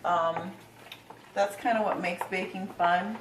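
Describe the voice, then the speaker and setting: A woman giving a relaxed, chatty recipe demonstration at home, talking with plenty of fillers. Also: on the low side, slow, reverberant, distant mic, background noise. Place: home kitchen.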